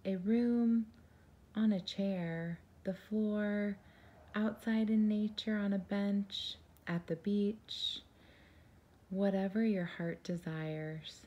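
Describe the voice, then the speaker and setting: A woman leading a guided meditation in a soft, soothing, gentle and unhurried voice, breathy at times. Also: medium pitch, muffled recording.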